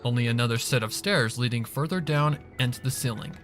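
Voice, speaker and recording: A man's voice: medium pitch, a little fast. A man reading a fan fiction story aloud as scripted narration. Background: music.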